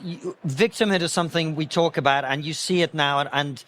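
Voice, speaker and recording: A man's voice: a little high, a little fast, fairly bright tone, slight background noise, rough recording. A man co-hosting a live stage panel who jokes briefly and then asks probing, structured questions. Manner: jokey, then probing with two-part questions. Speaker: podcast co-host.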